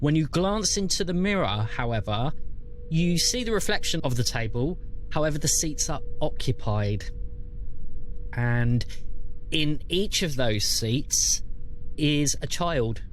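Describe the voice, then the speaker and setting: A man's voice: fairly high pitch, animated, unhurried, rough recording. A man running a tabletop role-playing game, narrating scenes and guiding the players. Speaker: game master. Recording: faint background noise.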